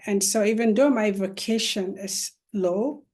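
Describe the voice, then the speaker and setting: Slow, earnest Nigerian-born woman panelist. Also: medium pitch, a little mellow. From northern Nigeria. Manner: slow, earnest, with many fillers and restarts. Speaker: panelist, law professor and educator. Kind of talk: virtual panel talk. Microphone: video call.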